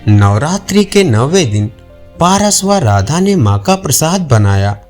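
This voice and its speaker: A man's voice: on the high side, very animated, a little slow, a little mellow, soft background music. A man narrating a scripted audio folk tale as a storyteller.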